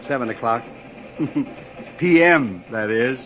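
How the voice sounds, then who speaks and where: An American actor in an old-time radio drama, playing a chatty, folksy older train passenger. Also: male voice, medium pitch, lively intonation, slow. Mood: amused, friendly. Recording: dull, noisy old radio recording.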